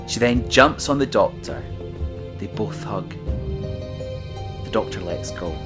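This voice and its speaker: A man reading scripted narration for an audio drama, describing the action in short measured sentences. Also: lowish voice, animated, over loud music.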